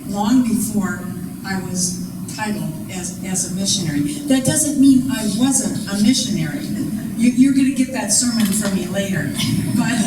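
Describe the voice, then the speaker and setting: An older woman, a former missionary, telling her story in a chatty, self-deprecating way at a public talk. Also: medium pitch. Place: museum event hall. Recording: echoey room from far off, loud noise.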